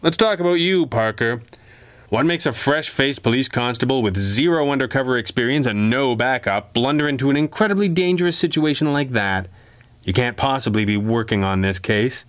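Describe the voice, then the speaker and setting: A man's voice: mid-range voice, moderate pace, a bit bright, dry recording, voice pushed up, close to an everyday voice. A man voicing a seasoned gangland undercover man in a crime story, with a smug, casual, needling manner. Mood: teasing, smug.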